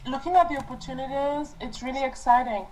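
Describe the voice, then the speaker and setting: A woman's voice: high voice, quick pace, darkish tone, rough recording. A woman on a team conference call, speaking as a member who puts questions about a business project.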